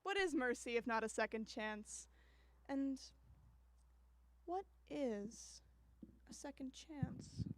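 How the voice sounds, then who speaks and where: A woman's voice: high-pitched, animated, slow, a little hiss or hum. A woman narrating scripted introductions as host of a radio drama anthology, addressing listeners like a theatrical storyteller.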